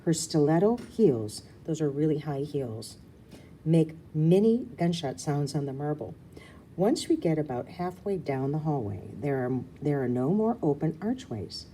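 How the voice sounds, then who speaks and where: A woman's voice: sits low, sing-song, unhurried, warm tone, a little hiss or hum. A woman reading a children's story aloud expressively, slipping into casual asides. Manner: breaks off for casual asides and explanations. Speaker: book reader.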